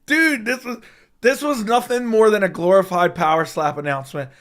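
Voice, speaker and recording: A young man hosting a casual fight-sports livestream chat, hoarse from losing his voice, excitable and quick to swear as he reacts to news. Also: high-pitched. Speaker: MMA YouTube host.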